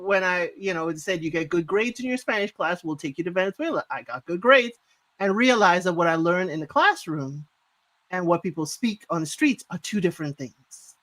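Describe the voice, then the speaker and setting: Bright high-voiced man from Trinidad. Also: lively intonation, a little fast. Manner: playful, apologetic, chatty. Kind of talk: casual live chat.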